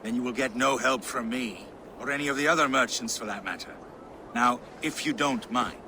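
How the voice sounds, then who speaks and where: A man's voice: medium pitch, normal speed, close to an everyday voice. An actor playing a bitter, surly male merchant in a video game, cold and dismissive. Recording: noisy outdoor town ambience.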